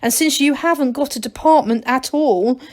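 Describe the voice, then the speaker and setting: Woman reading as impatient, clever male gnome, higher-pitched. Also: normal speed, natural voice. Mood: knowing, cautioning. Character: Dorcas, clever self-assured male gnome elder, impatient. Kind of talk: children's storybook read aloud.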